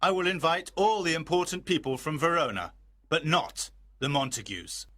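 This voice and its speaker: A man's voice: fairly high pitch, lively intonation, normal speed, fairly bright tone. A man playing a proud older lord in a storybook, speaking in a commanding, decisive manner. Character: proud older lord giving orders to servant. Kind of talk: storybook dramatization.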